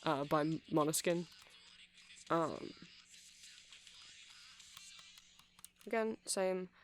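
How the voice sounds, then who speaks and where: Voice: medium pitch, slow pace, slight background noise, natural voice. A performer playing a nerdy, earnest vampire who sells music in a shop, in a hesitant, rambling ASMR roleplay full of self-corrections. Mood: chatty, slightly flustered. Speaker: shop seller.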